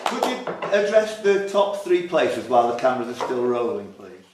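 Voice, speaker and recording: A man's voice: medium pitch, sing-song, normal speed. Male contestant, casual short answers and remarks, varied intonation, heavy room echo. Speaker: quiz contestant. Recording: very echoey noisy room, distant mic.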